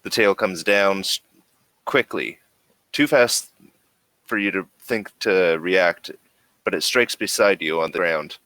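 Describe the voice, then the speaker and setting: A man running a tabletop horror game as game master, narrating in a slow, suspenseful way with pauses. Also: low-pitched, pushed and bright, no room sound.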